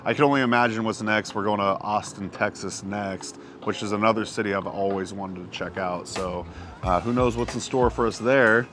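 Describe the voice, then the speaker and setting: A man in his thirties hosting a travel vlog, chatting casually outdoors and often filling pauses with "uh" and "yeah". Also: lowish voice, over music. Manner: relaxed, lots of "uh" and "yeah". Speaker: vlog host. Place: outdoors on a hillside above a small town.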